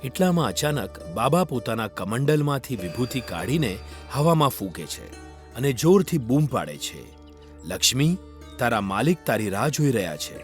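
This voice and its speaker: A man's voice: medium pitch, big pitch swings, normal speed, soft background music. A man narrating a scripted moral story for children.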